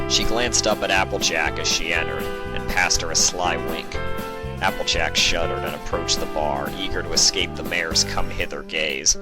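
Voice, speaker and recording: A man reading a story aloud in a scripted narration style. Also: low-pitched. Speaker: fan fiction narrator. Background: music.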